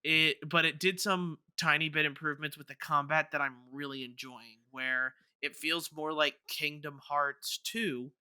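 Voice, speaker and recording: A man with a very bright, forward voice and crisp, clean tone co-hosts a casual podcast. He sits a little high in pitch and often repeats words as he works out his thoughts. The sound is close and dry. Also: fairly even intonation, dry recording. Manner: halting, repeats words while thinking aloud. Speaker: podcast co-host. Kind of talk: casual chat.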